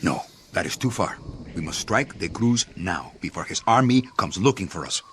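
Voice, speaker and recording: A commanding, volatile rebel leader. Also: male voice, low-pitched, big pitch swings, moderate pace, slight background noise. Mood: proud, insistent. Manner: commanding, curt. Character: impatient, proud male rebel leader marching through jungle. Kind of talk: radio drama acting. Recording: clear insect and jungle ambience.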